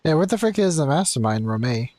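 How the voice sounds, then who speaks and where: A man's voice: on the high side, sing-song, quick pace, a little mellow, a little hiss or hum. A man streaming a game, giving casual, exclamatory reaction commentary.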